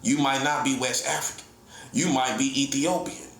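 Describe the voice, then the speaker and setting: A man's voice: mid-range voice, steady tone, moderate pace, fairly bright tone, echoey room. A man giving a casual comedic monologue as an online preacher, teasing his listeners, laughing often and acting out mock conversations. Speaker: self-styled prophet and online preacher.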